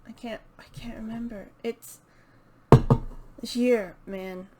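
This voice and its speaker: A woman's voice: a little high, steady tone, slow, heavy background noise. A woman chatting casually and unscripted as the host of a video channel, hesitant and thinking aloud as she goes. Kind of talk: casual unscripted book chat to camera.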